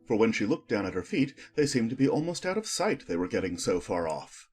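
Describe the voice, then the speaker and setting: A man reading scripted storybook narration in an expressive storytelling manner. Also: lowish voice, a little fast, husky, soft background music.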